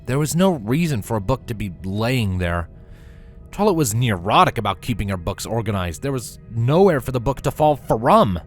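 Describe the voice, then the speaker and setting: A man narrating a scripted story with a dramatic, expressive delivery. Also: medium pitch, moderate pace, soft background music.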